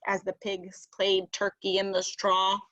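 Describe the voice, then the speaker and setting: A woman reading a children's storybook aloud as narrator, expressive and emphatic. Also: mid-range voice, measured pace, dull audio. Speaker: storybook reader.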